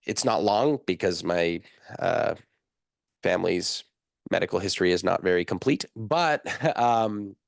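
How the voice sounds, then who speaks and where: A young man with a fairly low voice talks casually as a podcast guest. He explains things quickly and loosely, full of fillers and asides. The recording is dry and close. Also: animated, gravelly, dry recording. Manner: conversational, explanatory, fast-talking with fillers. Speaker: guest, nutritionist.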